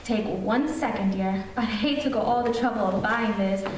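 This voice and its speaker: A woman's voice: medium pitch, moderate pace, natural voice. A woman playing a fussy, kindly middle-aged housewife in old-time radio comedy style. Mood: fussy, chatty. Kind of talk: 1940s radio comedy acting. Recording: very echoey, distant, faint noise.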